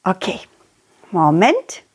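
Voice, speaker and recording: Woman acting as a confident friendly dog, children's storytelling, unhurried pace, husky tone, excitable childlike manner. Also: fairly low pitch, exaggerated voice. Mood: reluctant, anxious. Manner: excitable, childlike, speaking directly to child listeners. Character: confident, loyal, cheerful dog telling children stories. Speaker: puppeteer and storyteller. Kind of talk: children's audio play storytelling.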